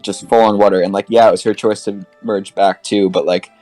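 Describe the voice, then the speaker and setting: A man with a fairly low voice talks casually on a fan podcast. He rambles and pads his sentences with "like", with music playing behind him. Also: steady tone, a little fast, a little mellow, poor audio. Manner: rambling, filler-heavy with frequent "like". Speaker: podcast panelist. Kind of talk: casual fan discussion of an animated series.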